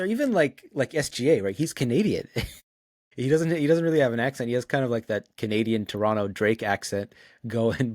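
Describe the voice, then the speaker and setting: A man's voice: medium pitch, a little mellow, no room sound, studio quality. A man hosting a casual sports discussion in a conversational way, often posing questions. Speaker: podcast host.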